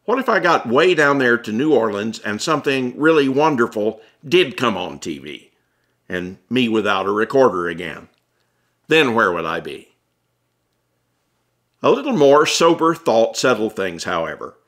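Bright-voiced American man narrating a memoir. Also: medium pitch, big pitch swings, normal speed. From Atlanta, United States. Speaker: author reading own audiobook. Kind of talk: scripted first-person narration, conversational memoir.

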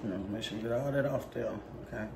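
A woman's voice: extremely low pitch, quick pace, vocal fry. Chatty young woman in an echoey kitchen. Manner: chatty, talks straight to viewers, playful teasing. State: tired arm while mixing. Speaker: cooking vlog host. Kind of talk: casual cooking vlog chat. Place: home kitchen. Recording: echoey kitchen with background noise.

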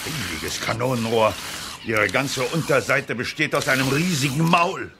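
An actor playing a hot-tempered, gruffly indignant army general in an audio drama. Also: male voice, mid-range voice, moderate pace, noisy background, lightly acted. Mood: urgent. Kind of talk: German audio drama acting.

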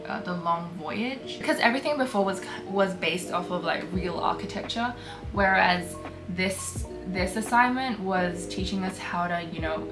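A young woman from Hong Kong, a concept artist talking casually and candidly about her school projects, with lots of fillers, in a small home studio. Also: on the low side. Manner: chatty, many fillers, relaxed and candid. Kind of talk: casual talk over artwork. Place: small bedroom studio, indoors. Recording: music playing underneath.

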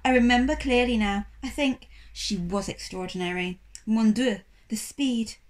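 A woman's voice: a little high, a little slow, natural voice. A woman voicing an elderly French male shop owner in a storybook reading, firm but enthusiastic, courteous and emphatic. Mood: admiring.

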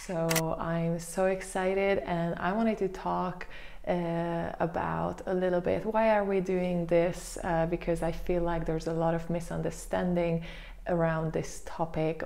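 A young woman vlogging casually to camera from her living room, chatty and full of pauses and fillers, on a lapel mic. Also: lowish voice, vocal fry, a little mellow. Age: young adult. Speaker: vlogger host. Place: small living room indoors. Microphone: lapel mic clipped to her top.

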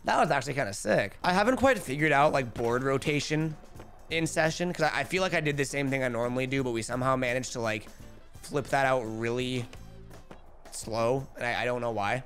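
A young man in his twenties streaming a skateboarding game, chatty and energetic, calling out his tricks, a bit hoarse. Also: mid-range voice, a little fast, faint music behind. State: losing his voice. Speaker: gaming streamer. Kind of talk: live gameplay commentary. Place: home streaming room. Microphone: headset.

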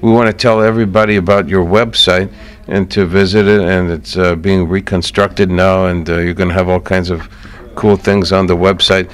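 A man's voice: deep voice, little pitch movement, normal speed, smooth. A man with an American accent hosting a conversational radio interview in an easygoing, encouraging way. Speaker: radio show host.

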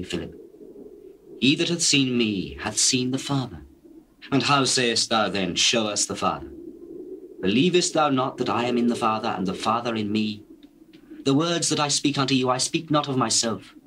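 An actor playing a solemn, gentle, authoritative religious teacher in a measured, declamatory scripture drama. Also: male voice, medium pitch, a little hiss or hum, lightly acted, low-quality recording. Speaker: actor in dramatized Bible recording. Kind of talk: dramatized scripture reading in archaic English.

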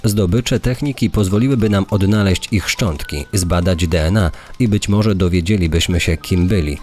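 An actor voicing a serious academic criminologist, reading a quoted explanatory statement for a documentary. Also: male voice, sits low, normal speed, darkish tone, close to an everyday voice. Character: male academic criminologist quoted on unsolved murders. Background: background music.

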